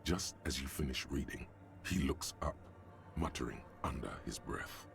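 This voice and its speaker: A man voicing the grave, measured narrator of a detective video game. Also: extremely low pitch, gravelly, a bit bright, faint music behind, full character voice.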